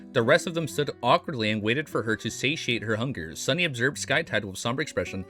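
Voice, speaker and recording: A young man streaming a reaction, reading a novel aloud with excited, swearing outbursts, his voice a bit hoarse. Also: medium pitch.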